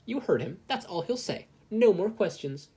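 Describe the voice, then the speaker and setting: A breezy, assured superheroine mentor as put on by a story reader, teasing and sure of herself. The voice is mellow and a bit husky. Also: mid-range voice, animated, moderate pace, pitched above the performer's own voice. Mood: firm. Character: brash, easygoing American superheroine mentor.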